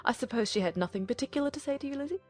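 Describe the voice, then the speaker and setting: Woman playing a fast-chattering, gossipy mother. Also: high voice, lively intonation, faint music behind, pitched above the performer's own voice. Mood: chatty, pleased. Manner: gushing, rambling. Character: chattering, self-satisfied middle-aged English mother, gossipy and oblivious. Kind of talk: dramatized audiobook acting.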